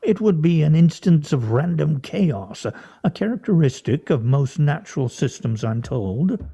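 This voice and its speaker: A man's voice: on the high side, very animated, normal speed, creaky, warm tone, husky, no room sound, heavily acted. A man playing a wry, theatrical husband in a comic radio play, speaking in a pompous, mock-formal way. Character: wry, theatrical, teasing husband with grandiose fantasies. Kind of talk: stage radio play comedy acting.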